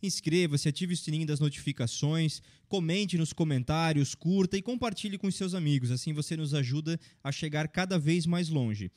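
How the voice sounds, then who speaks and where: Guy doing a quick podcast intro, kinda high voice, salesy. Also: darkish tone. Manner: brisk promotional delivery. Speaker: podcast host. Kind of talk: scripted show intro.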